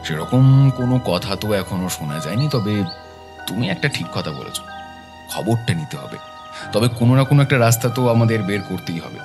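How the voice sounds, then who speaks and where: A man acts a determined, methodical detective in an audio drama. His voice is low and his delivery is serious and deliberate. Music is clearly heard behind him. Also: big pitch swings. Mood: grave.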